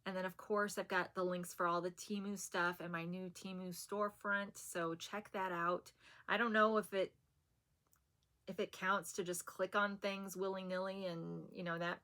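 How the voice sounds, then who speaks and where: A woman chatting to camera in a soft, soothing voice that still carries a bright, sharp edge. She rambles a little, with easy fillers and small laughs, in the relaxed way of a long-time vlogger. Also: lowish voice, normal speed. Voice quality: soft and soothing, said to put people to sleep. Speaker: haul vlogger. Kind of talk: casual chat to camera.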